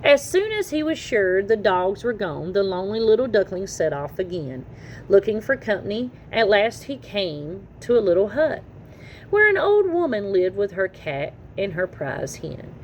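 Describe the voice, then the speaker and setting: Female storyteller, medium pitch, animated read-aloud for young children, noticeable background noise. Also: moderate pace. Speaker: children's story reader and channel host.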